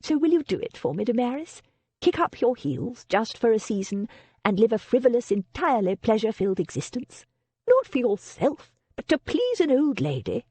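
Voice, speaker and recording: A woman voicing a kind, shrewd elderly aristocratic lady who coaxes in a lively, animated way. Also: brisk, slightly rough, no room sound. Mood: coaxing. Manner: lively, coaxing, high and animated.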